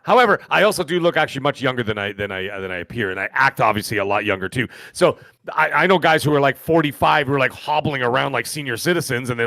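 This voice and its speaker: Man, fifties, fast talker, rambling joker, podcast co-host, dry close sound. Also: mid-range voice, bright, forceful tone, dry recording. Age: 50s. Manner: rambling, joking storyteller. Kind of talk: casual podcast banter.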